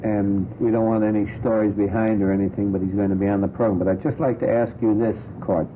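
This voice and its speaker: A man's voice: on the low side, steady tone, quick pace, narrow, tinny audio, slight background noise. A man hosting a radio panel talk show, talking in a digressive way and putting pointed questions on an old broadcast.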